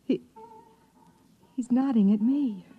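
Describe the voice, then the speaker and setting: A woman's voice: fairly high pitch, slow pace, phone-quality sound, faint music behind. A woman acting in a 1950s radio drama as a frightened, pleading wife on the run. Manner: anxious, pleading. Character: frightened wife fleeing pursuers.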